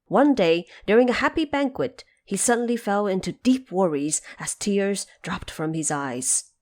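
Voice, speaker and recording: A woman storyteller giving a dramatic, expressive scripted narration of a tale. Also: medium pitch, a little slow. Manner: expressive, theatrical.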